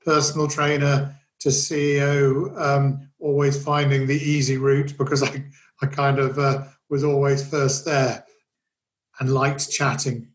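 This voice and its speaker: A middle-aged man from Kenya, a fitness executive talking casually as an interview guest, relaxed and self-deprecating. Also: medium pitch, unhurried. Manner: relaxed, self-deprecating, rambling with many fillers and restarts.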